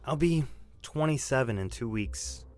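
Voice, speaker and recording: A man's voice: medium pitch, lively intonation, unhurried, a little mellow, close to an everyday voice. An actor playing a terse robbery suspect, a man in his twenties, in an audio drama. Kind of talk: audio drama interrogation acting.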